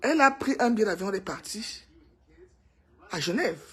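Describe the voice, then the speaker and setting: Cameroonian woman vlogger, husky breathy voice, excitable, chatty gossip to camera. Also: medium pitch, a little fast. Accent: Cameroonian French. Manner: emphatic, excitable, repeats herself for effect. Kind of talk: casual gossip monologue to viewers.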